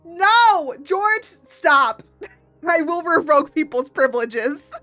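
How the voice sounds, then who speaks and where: A young woman streaming, her voice hoarse from laughing, breaking into giggles as she chats. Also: sits high, expressive, slow pace, bright, forceful tone, no room sound, faint music behind. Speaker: VTuber artist streamer. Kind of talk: casual live stream chat.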